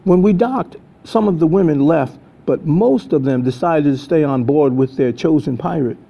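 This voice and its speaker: A man's voice: a little high, normal speed, vocal fry, breathy edge. An older man reading an adventure story aloud as a storyteller, in dramatic scripted narration. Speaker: storyteller reading their own book. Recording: dull, lo-fi sound.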